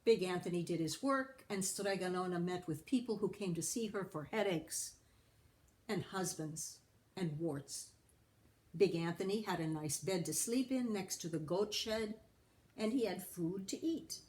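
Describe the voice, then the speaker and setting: A woman reading a children's story aloud as a storyteller, deliberately and with care for young listeners. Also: on the low side, a little mellow.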